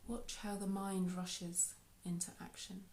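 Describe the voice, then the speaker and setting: A woman leading a guided meditation in a soft, unhurried, soothing way, with phrases trailing off into breath. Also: mid-range voice, level tone. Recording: roomy, echoey sound.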